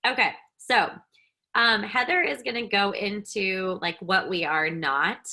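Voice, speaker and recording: A woman's voice: medium pitch, measured pace, bright, forceful tone, slight background noise. A woman hosting a coaching webinar, speaking casually with many fillers. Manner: upbeat, filler-heavy, explaining. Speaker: webinar host.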